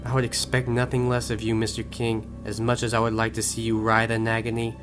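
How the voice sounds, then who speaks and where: A man's voice: medium pitch, monotone, fairly quick, a bit bright, faint music behind, close to an everyday voice. Man voicing a menacing, sneering villain duelist. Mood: sinister, gloating. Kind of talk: fan audio drama acting.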